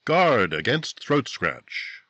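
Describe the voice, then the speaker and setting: A man's voice: medium pitch, big pitch swings, sharp tone, dry recording, highs cut off. A man narrating dramatically in the style of a 1950s radio drama. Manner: dramatic, measured second-person storytelling. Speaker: narrator and announcer.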